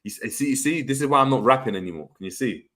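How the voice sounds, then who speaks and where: A man's voice: mid-range voice, expressive, racing, a bit bright. A young man, hosting a casual football chat and reading out viewer comments, slangy and prone to repeating words. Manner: laid-back, slangy, stumbles and repeats words. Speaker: podcast host.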